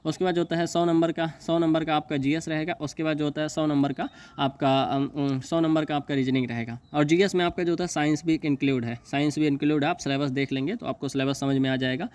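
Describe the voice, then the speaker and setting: A man giving an informal explainer, reading and paraphrasing in a halting, repetitive way. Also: on the high side, steady tone, a little mellow, clear, resonant tone. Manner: halting, repetitive, stumbles over English terms he reads. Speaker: exam news video host.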